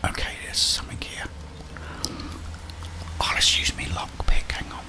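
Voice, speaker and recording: A middle-aged British man whispering ASMR game commentary in short murmured reactions, close to the microphone. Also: a little slow. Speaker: gaming streamer.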